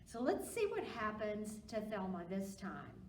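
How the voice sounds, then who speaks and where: A woman reading aloud to young children at a storytime, with simple, encouraging, expressive delivery. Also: medium pitch, measured pace. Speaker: librarian storytime reader. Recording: roomy, distant mic with background noise.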